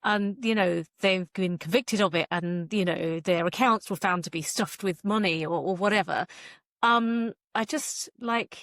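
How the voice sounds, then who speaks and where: A woman's voice: medium pitch, measured pace, fairly bright tone, no room sound, clean studio sound. A woman giving casual interview answers as a guest author, candid and rambling as she thinks aloud.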